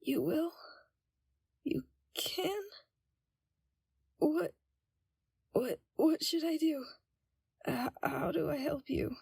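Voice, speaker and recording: A woman playing a timid, frightened young captive in an audio roleplay, speaking in a hesitant, hushed and halting way. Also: high-pitched, creaky, no room sound, studio quality, full character voice. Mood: pleading, tearful. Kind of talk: scripted audio roleplay, ASMR.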